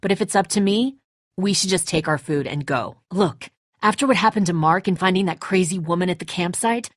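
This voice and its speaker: A woman's voice: lowish voice, fairly quick, no room sound, clean studio sound, lightly acted. An actress playing a tense, defensive woman who leads a group of survivors in a dramatic video game, blunt, confrontational and exasperated. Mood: uneasy, suspicious.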